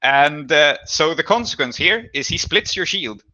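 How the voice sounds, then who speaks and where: A man acting as game master, explaining rulings and narrating a tabletop game in a measured, deliberating way. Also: on the high side, lively intonation, pushed and bright, dry recording, dull audio.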